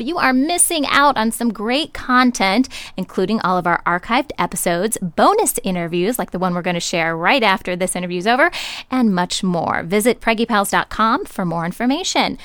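A woman's voice: fairly high pitch, fairly bright tone, clean tone, no room sound. A woman hosting a pregnancy podcast from a studio, bubbly and chatty. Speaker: podcast host.

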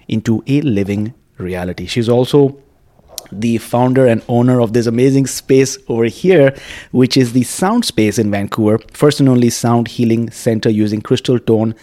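Guy hosting a podcast, soft mellow tone, effusive, says right? a lot. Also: mid-range voice. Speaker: podcast host. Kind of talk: podcast interview with scripted introduction and casual chat. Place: quiet indoor room at a wellness space.

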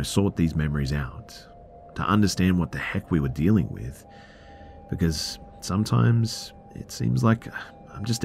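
A man reading a first-person horror story aloud in a hushed, drawn-out dramatic delivery, dropping into a whisper at times. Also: a bass voice, slight background noise. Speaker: narrator. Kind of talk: scripted horror story narration.

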